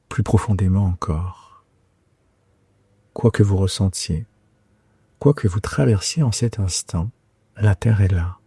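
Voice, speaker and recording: Very slow, breathy man guiding sleep meditation. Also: low voice, no echo. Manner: soothing, hushed, unhurried phrasing with long pauses. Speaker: yoga nidra guide and podcast host. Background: soft plucked guitar music.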